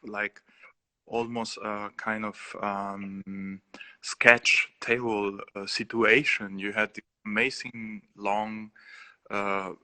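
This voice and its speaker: Peruvian-accented male artist, low flat voice, hesitant delivery with frequent fillers. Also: takes it slowly. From Peru. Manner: hesitant, many fillers and restarts. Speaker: artist guest. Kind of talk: casual live interview.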